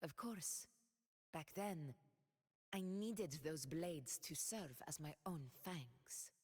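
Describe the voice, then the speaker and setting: A woman's voice: low-pitched, slow, a little mellow, breathy edge, in a large hall, exaggerated voice. A solemn, dignified ex-gladiator noblewoman. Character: proud noble woman, former gladiator leader. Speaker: game character. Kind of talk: game character voice lines.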